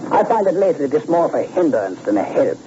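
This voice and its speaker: A smug gang boss in a vintage radio crime drama, gloating and condescending as he explains his scheme. His pitch swings widely in a lively, sing-song way, and the old broadcast sounds dull and full of hiss. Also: fast talker. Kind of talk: 1940s radio acting.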